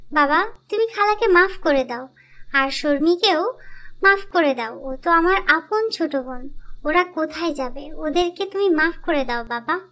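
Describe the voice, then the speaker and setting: A woman's voice: sits high, animated, unhurried, pushed and bright, exaggerated voice. A woman voicing a wronged but forgiving young girl in a cartoon, plaintive and pleading.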